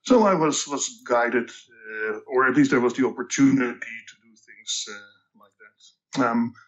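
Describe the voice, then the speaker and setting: A man in his sixties with a Dutch accent tells his story slowly and carefully, pausing often with ums and restarting his sentences. His voice sits at an average pitch. The recording sounds a little dull and muffled. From Netherlands. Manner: measured, hesitant with many ums and restarts. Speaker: guest. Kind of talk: reflective career storytelling.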